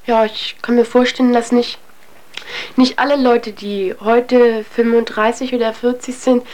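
A woman's voice: fairly high pitch, flat delivery, measured pace, darkish tone. A young adult woman giving a candid personal account as an interviewee, speaking in a reflective, hesitant, colloquial way.

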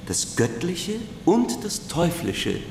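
An actor playing a learned classics teacher, lecturing in a formal, didactic way. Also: male voice, fairly high pitch, wildly swooping pitch, takes it slowly, vocal fry, darkish tone, exaggerated voice. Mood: scholarly. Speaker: schoolteacher. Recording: echoey room, faint noise.

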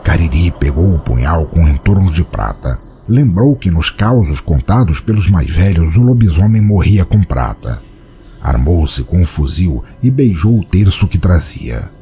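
A man's voice: low voice, sing-song, a little slow, dark tone, a little hiss or hum. A man with a Brazilian accent narrating a dramatic scripted audio story.

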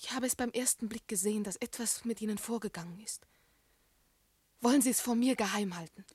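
Husky, high-voiced earnest young woman. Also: brisk, a little mellow, no room sound. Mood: anxious, urgent. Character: earnest, devoted, defiant young woman in love. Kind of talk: German classic radio play acting.

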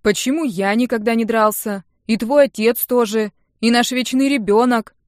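A woman's voice: on the high side, normal speed, darkish tone, dry recording, natural voice. A woman narrator acts out a touchy young uncle in an audiobook. He is sarcastic and bossy, firing off mocking retorts in a raised, emphatic voice, recorded very dry. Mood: sardonic.

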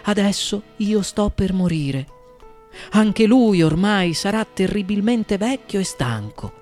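A man playing a weary old sea captain, solemn and deliberate, in a storybook reading. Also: high voice, lively intonation, a little mellow, voice pushed up. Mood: solemn, resigned. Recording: music behind the voice.

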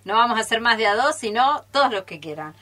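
A woman's voice: fairly high pitch, expressive, fast talker, sharp tone. A woman presenting a radio theatre session and giving the cast instructions. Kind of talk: live announcing to a cast.

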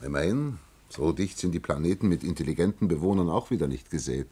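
An actor playing an earnest young scientist from another planet in a radio play, halting at times and given to grave pronouncements. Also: male voice, low-pitched, lively intonation, mellow, breathy edge. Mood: grave, explaining. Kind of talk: German radio play acting.

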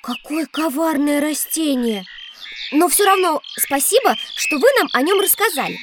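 Curious, high-voiced little girl. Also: lively intonation, moderate pace, noisy background. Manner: inquisitive, earnest. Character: young girl, curious, sensitive, quick to retort. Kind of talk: children's educational audio drama.

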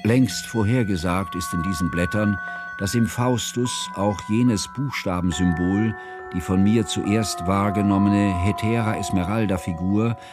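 An actor playing a grieving, learned older man who narrates a literary radio drama in solemn, measured, ornate phrases about his friend. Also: on the low side, close to an everyday voice.

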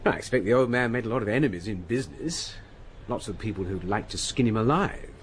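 An actor playing a breezy, sardonic gentleman with an upper-class English accent in a radio drama. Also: fairly low pitch, expressive, fast talker, a little hiss or hum, lightly acted. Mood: airy, candid.

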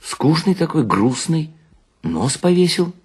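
A male narrator acts out a gullible, chatty husband from a literary tale. The voice is husky and lifted high, gossiping with animated, scoffing mockery. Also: slow pace, full character voice. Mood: gossipy. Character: chatty, gullible husband mockingly quoting his wife's admirer.

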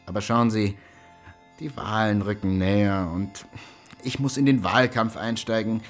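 An actor playing a genial but cynical older district attorney in a German radio drama, jovial and coaxing with a threat underneath. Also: male voice, fairly low pitch, steady tone, a little slow, faint music behind. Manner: jovial, coaxing, tag questions, veiled threats.

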